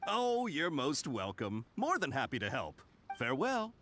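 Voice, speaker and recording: Chatty male game technician, theatrical, hugely expressive pitch, eager, polite, music behind. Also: unhurried, full character voice. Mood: courteous, cheerful. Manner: courteous, theatrical, storytelling. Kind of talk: video game character acting.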